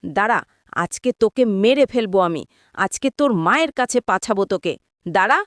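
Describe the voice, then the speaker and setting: A woman's voice: mid-range voice, animated, brisk, dry recording, clean studio sound, natural voice. A woman voicing a cruel middle-aged stepmother who scolds and threatens in a cartoon. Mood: threatening. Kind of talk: cartoon voice acting.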